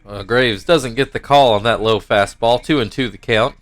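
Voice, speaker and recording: A man's voice: mid-range voice, normal speed, a bit bright. Stuffy-nosed man calling a video game. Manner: rambling and self-correcting, mock play-by-play calls. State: stuffy nose. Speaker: gaming YouTuber commentating his own baseball game league. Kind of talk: casual play-by-play game commentary.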